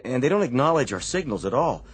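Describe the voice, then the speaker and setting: Dutiful sailor, played by a man: medium pitch, animated report to a superior, light music behind. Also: fast talker, natural voice. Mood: alert, uncertain. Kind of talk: radio drama acting.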